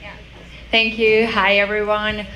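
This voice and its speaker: A woman's voice: medium pitch, monotone, measured pace, sharp tone, rough recording. A young woman with a Croatian accent telling her personal story as a startup founder on a conference panel. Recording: faint background noise, live event microphone.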